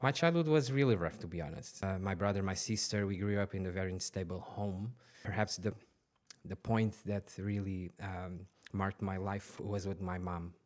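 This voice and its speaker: Low-voiced man in his forties from Portugal, podcast guest, earnest, halting, restarts often. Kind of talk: personal life story.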